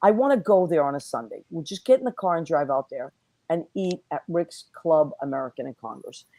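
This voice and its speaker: A woman hosting a casual online talk show, whose first language is Italian, chatting and giving friendly shout-outs with plenty of fillers. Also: sits low, expressive, fairly quick, no room sound. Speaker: host. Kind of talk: casual chat.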